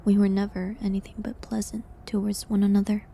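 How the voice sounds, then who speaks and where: A woman playing a gentle, sorrowful village herbalist condemned as a witch, speaking in a hushed, halting way in a dramatic audio roleplay. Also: mid-range voice, flat delivery, a little hiss or hum, lightly acted. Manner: hushed, breathy, halting delivery.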